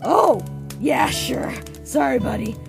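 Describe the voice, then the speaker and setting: A woman's voice: sits high, sing-song, takes it slowly, creaky, exaggerated voice. A woman voicing a tough, protective monster warrior in a comic dub, blunt, casual and confident. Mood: brisk, friendly. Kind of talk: comic dub character acting. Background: music.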